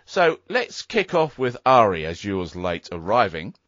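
A man's voice: on the low side, very animated, moderate pace, fairly bright tone, no room sound. A man hosting an interview show, prompting guests casually with many ums and uhs. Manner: hesitant, lots of ums, friendly prompting. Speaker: show host. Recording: dry muffled recording with faint music.

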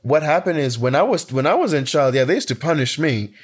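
A man's voice: on the high side, expressive, brisk, husky. A man in his twenties from Kenya, telling a personal story in casual conversation with many restarts. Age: 20s. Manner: storytelling, frequent restarts and fillers.

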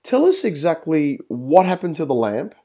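Mellow-voiced male interview host. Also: a little high, big pitch swings, moderate pace. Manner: rambling questions with many fillers.

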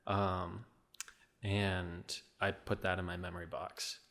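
Soft-spoken low man, slight Minnesota accent. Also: little pitch movement, takes it slowly. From Midwest USA. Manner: soft-spoken, trailing off, many fillers. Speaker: guest. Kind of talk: casual interview.

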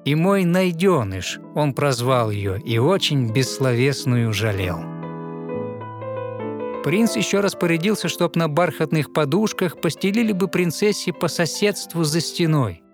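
A man narrating a fairy tale in verse, with a lyrical, rhythmic and expressive scripted delivery. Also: fairly high pitch, measured pace, faint music behind.

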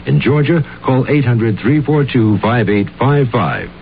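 A man announcing a radio ad, reading facts in a brisk, even way. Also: medium pitch. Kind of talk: read radio ad. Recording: tinny phone-like radio sound with faint hiss.

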